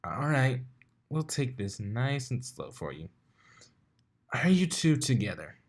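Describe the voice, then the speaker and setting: A man's voice: medium pitch, very animated, slow pace, voice pushed up. A man puts on a laid-back female unicorn DJ from a pony fan fiction. She sounds nosy and playful, always teasing. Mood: curious. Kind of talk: acted fan fiction dialogue.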